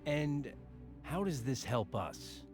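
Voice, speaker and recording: An actor playing an impatient army general in a war video game, blunt, clipped and demanding. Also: male voice, medium pitch, big pitch swings, takes it slowly, music in the background. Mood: impatient, skeptical. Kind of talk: video game cutscene acting.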